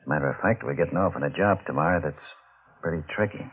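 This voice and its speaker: An actor playing a brooding, guilt-ridden deep-sea diver in an old-time radio mystery, speaking in a tense, halting way. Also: male voice, slightly rough. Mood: cold, scheming. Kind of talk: old-time radio drama acting with narrated inner monologue. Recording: muffled, noisy old radio recording.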